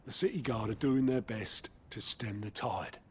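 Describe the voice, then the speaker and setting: An actor playing a gruff, plain-spoken lieutenant delivering reports in a video game. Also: male voice, on the low side, moderate pace. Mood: sober, worried. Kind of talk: dramatic video game acting.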